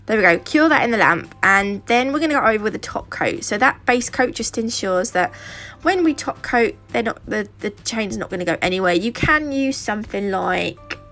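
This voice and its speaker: A woman's voice: mid-range voice, fairly bright tone. A British woman with a sore throat and a stuffy nose, chatting her way through a nail tutorial in a rambling, casual style. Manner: chatty and rambling, with fillers and asides. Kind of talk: casual how-to narration.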